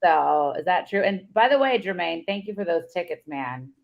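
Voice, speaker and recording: A woman co-hosting a casual sports podcast, upbeat and giving shout-outs. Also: fairly low pitch, animated, normal speed, gravelly. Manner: upbeat, gives shout-outs and sign-offs. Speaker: podcast co-host. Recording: dull lo-fi sound.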